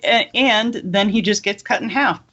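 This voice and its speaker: A man's voice: high voice, moderate pace, pushed and bright. Man, casual opinionated podcast talk, blunt, dull lo-fi recording. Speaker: podcast co-host. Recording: dull, lo-fi audio.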